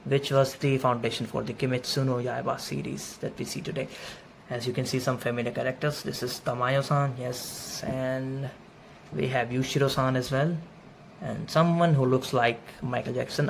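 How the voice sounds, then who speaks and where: A man whose first language is not English, casually showing his manga collection in an unhurried, friendly way and dropping Japanese terms into his English. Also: mid-range voice, gravelly. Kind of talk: casual show-and-tell overview. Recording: faint noisy room ambience. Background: faint background noise.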